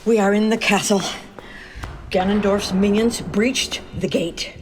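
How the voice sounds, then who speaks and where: A woman playing a solemn, commanding guardian of a princess in a fantasy audio drama, speaking in measured, formal lines. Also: mid-range voice, steady tone, background noise, close to an everyday voice. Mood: urgent. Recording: music under the voice.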